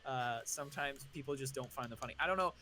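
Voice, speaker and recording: A man's voice: medium pitch, fast talker, bright, forceful tone, faint music behind. A young man co-hosting a stream, chatting casually and rambling through stories with restarts. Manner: rambling, self-deprecating, trails off. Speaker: stream co-host. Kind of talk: casual chat.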